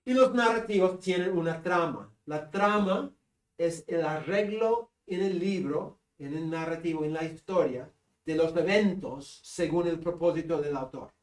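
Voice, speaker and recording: Peruvian man lecturing in an echoey room. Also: fairly high pitch. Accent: northern Peruvian Spanish. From Peru. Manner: joking, pausing with fillers. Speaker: seminary lecturer. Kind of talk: classroom lecture. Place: classroom. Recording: echoey classroom from a distance.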